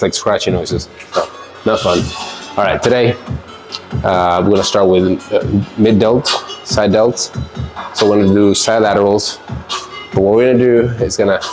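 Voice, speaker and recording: A man with a somewhat low voice talks through his workout in a loose, rambling way. He sounds stuffy and congested, as if he has a cold. Quiet music plays faintly in the background. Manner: relaxed, rambling, with fillers and laughs. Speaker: fitness vlogger. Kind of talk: casual workout vlog talk.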